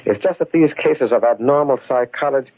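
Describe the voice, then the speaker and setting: A man playing a confident, inquisitive criminologist in a 1940s radio mystery, speaking in a measured, urbane, wry way. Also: medium pitch, natural voice. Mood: wry, probing. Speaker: radio actor. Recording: muffled 1940s radio recording with music.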